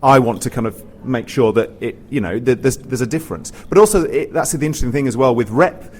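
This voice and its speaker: A man's voice: mid-range voice, fast. A British man, a pantomime writer on a panel, chatting informally and hesitantly, often correcting himself as he goes. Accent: British English. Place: theatre, live panel after a show. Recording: live theatre recording with background noise.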